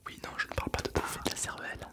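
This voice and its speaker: Whispering young man playing a gleeful ghoul. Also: very fast. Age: 20s. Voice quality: breathy whisper. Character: gleefully gruesome brain-eating male zombie and psychopath. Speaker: ASMR roleplay performer. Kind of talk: whispered ASMR Halloween roleplay.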